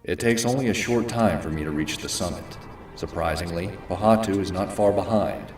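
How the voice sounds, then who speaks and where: A man playing a cold, brooding warrior hero who narrates and speaks tersely in an animated fantasy. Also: deep voice, moderate pace, roomy sound, close to an everyday voice. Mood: aloof. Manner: terse, stern, deadpan. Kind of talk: dramatic animated narration and dialogue. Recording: music behind the voice.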